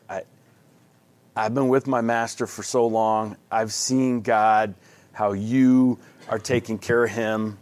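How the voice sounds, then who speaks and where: A man's voice: mid-range voice, a little mellow, no room sound. A man leading a Bible study in a casual, folksy, conversational way, often restarting his sentences.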